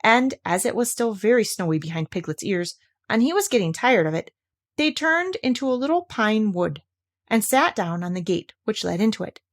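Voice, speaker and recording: A woman narrates a children's storybook. Her voice is medium in pitch and highly animated, moving up and down expressively as she tells the tale. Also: moderate pace. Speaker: storybook reader.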